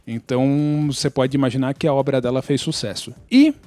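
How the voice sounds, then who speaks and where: A man's voice: medium pitch, brisk, dark tone, soft background music. A man with a Brazilian accent chatting on a podcast, explaining things in a wordy, digressive way. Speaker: podcast regular. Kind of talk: casual chat.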